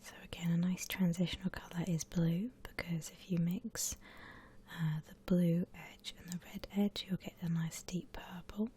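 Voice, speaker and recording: A woman softly whispering an ASMR drawing tutorial in a breathy, gentle, unhurried way, like an instructor. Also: on the low side, flat delivery, a little hiss or hum. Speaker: ASMR art channel host.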